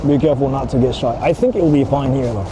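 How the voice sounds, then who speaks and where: A man's voice: medium pitch, quick pace. A man livestreaming outdoors, chatting casually and informally, repeating himself and swearing as he rambles. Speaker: livestreamer hosting an outdoor stream. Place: outdoors on Tokyo streets. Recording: noisy city street with passing traffic.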